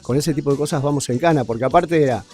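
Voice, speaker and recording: An Argentine man talks casually and emphatically in slang, his mellow voice a little higher than average. Faint music plays behind him. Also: fast. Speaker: interviewee. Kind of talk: casual, slangy interview talk.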